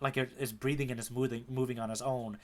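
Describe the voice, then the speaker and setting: A man's voice: mid-range voice, level tone, fast talker. A man co-hosting a casual manga podcast, talking with geeky enthusiasm in loose, slangy phrasing. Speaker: podcast co-host, the guide. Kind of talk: casual chat about a manga.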